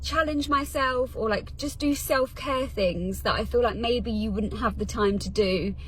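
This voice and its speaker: A woman's voice: fairly high pitch, measured pace, a bit bright, a little hiss or hum, low-quality recording. A thirty-year-old woman with a British accent talking casually and confessionally, as in a personal social media vlog.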